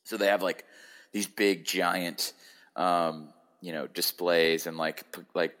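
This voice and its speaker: A man hosting a sports podcast, hoarse and hungover, rambling casually with a sardonic streak. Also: low voice, steady tone, slow pace, a bit bright. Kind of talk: casual football podcast chat.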